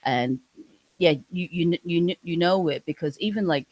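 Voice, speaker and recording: A woman from Hong Kong, a native Cantonese speaker with a Hong Kong English accent, talking casually as an interview guest, pausing and restarting often. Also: lowish voice, darkish tone, no echo. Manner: hesitant, many restarts and fillers.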